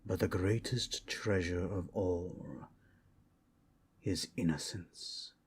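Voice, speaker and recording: A man playing an ancient, solemn, protective dragon guarding its hoard in a dramatic ASMR roleplay, with a husky, breathy delivery and grave, deliberate pauses. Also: sits low, a little hiss or hum. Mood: solemn, reflective.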